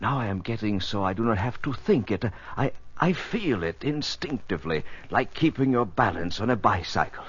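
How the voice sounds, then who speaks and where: An actor playing an earnest, eager glider pioneer in a 1950s radio drama, with halting, thoughtful delivery. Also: male voice, on the low side, very animated, a bit bright, breathy edge, full character voice. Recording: muffled old radio transcription.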